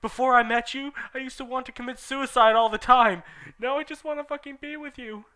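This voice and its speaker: A man's voice: high voice, very animated, normal speed, fairly bright tone, voice pushed up. A man voicing a dramatic, lovesick teenage goth boy who makes overwrought declarations.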